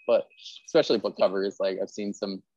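A man's voice: fairly low pitch, fairly even intonation, a little fast, darkish tone, no room sound, lo-fi sound. A man hosting a podcast interview in an informal, rambling, self-deprecating chat style. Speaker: podcast host interviewing an author.

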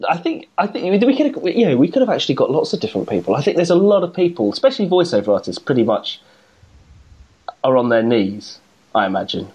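Podcast host, lively pitch, trails and restarts, laughs a lot, casual banter. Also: fast, husky, lo-fi sound. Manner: rambling, self-interrupting, laughs often. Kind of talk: casual chat.

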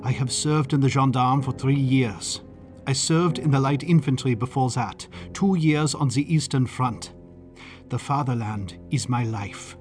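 A man's voice: mid-range voice, a little slow, faint music behind, close to an everyday voice. A man voicing a rigid, zealous police lieutenant who speaks earnestly and blurts out his devotion.

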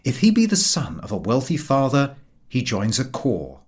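A man reading a humorous literary essay aloud as an audiobook narrator, in a measured, wry and expressive way. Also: mid-range voice, a little hiss or hum.

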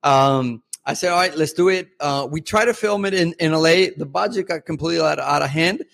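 A man whose first language is Spanish, chatting as a podcast guest. He rambles, restarts and fills with "like" and "uh". His voice sits a bit high and the sound is dry and close. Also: fairly quick, creaky, a bit bright, dry recording. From Mexico. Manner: rambling, lots of fillers and restarts. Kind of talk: casual interview chat.

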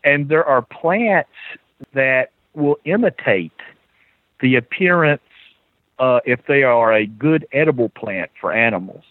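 A man's voice: medium pitch, lively intonation, unhurried, dry recording. A man with a Southern US accent, a guest author in a casual podcast chat, who talks animatedly and chuckles as he tells stories. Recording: tinny phone-line audio.

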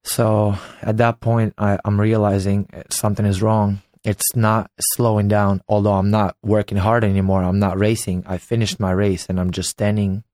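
A man in his late twenties from Ukraine, telling his own story as a former competitive swimmer in a slow, halting way. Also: on the low side, level tone, darkish tone, dry recording, studio quality. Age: late 20s. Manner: unhurried, halting, recounting a memory. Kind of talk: personal storytelling.

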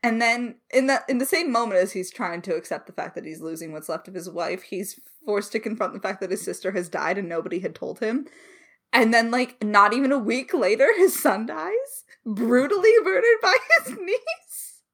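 Woman, lively swooping pitch, giggly, effusive, slangy, casual podcast co-host. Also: moderate pace. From Alberta, Canada. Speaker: podcast co-host, audio drama creator. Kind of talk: casual chat, answering listener questions.

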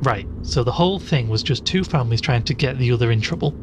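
A man's voice: medium pitch, lively intonation, fast talker, close to an everyday voice. A man playing a sceptical, curious interviewer in an audio drama, questioning a strange tale with some disbelief. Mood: curious, probing. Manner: questioning, incredulous. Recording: clearly noisy recording.

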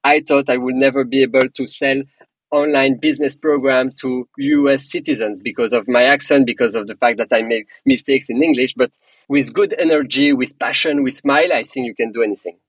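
A man's voice: medium pitch, steady tone, fairly quick, husky, no room sound, rough recording. A man from Belgium with a French accent, a startup co-founder answering podcast interview questions in an earnest, motivational way with small non-native grammar slips.

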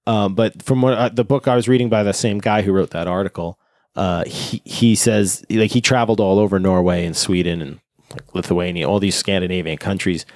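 Man, casual co-host type, low-pitched, rambling nerdy talker, dry close sound. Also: fairly even intonation, brisk, darkish tone, no room sound. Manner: rambling, nerdy, swears casually, many fillers. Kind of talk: casual chat.

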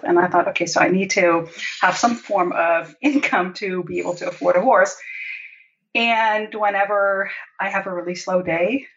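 A woman from Germany, chatting casually and enthusiastically as a podcast guest. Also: mid-range voice. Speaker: podcast guest, food and lifestyle blogger. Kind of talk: casual interview storytelling. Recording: slightly dull, lo-fi audio.

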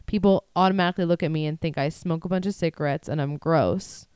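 A nasal woman in her twenties with a voice low for a woman, co-hosting a podcast with sarcastic, laughing banter. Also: fast talker, a little mellow, clean tone, dry recording, studio quality. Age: 20s. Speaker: podcast co-host. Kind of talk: crude casual chat.

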